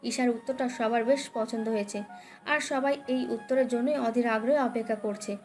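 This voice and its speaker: A woman's voice: a little high, fairly even intonation, normal speed. A woman narrating a dramatic romance story as a scripted audio tale. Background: background music.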